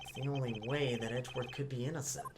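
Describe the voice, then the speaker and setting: A woman's voice: a bass voice, fairly even intonation, quick pace, very noisy. A woman voicing a cocky, sarcastic young male defense attorney in a theatrical fan dub of a visual novel game. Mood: bold, determined. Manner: sarcastic, grandstanding, swinging into asides. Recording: voice over game music. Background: game music.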